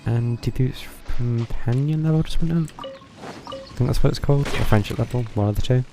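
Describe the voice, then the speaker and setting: A man's voice: mid-range voice, fairly quick, gravelly, over music. A man giving casual, rambling live commentary as he plays a game. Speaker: game streamer.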